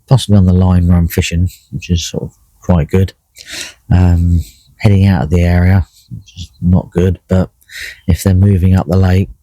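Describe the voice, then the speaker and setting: A middle-aged man with a croaky voice, chatting casually by himself while out fishing, with lots of fillers and restarts. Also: low-pitched, no echo, low-quality recording. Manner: relaxed, rambling, many fillers and restarts. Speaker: carp angler vlogging a session. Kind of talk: casual solo vlog commentary. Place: in a fishing bivvy by a lake.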